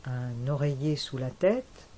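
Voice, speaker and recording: A woman guiding a yoga nidra relaxation in a soft, calm voice with long pauses. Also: a bass voice. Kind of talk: guided relaxation.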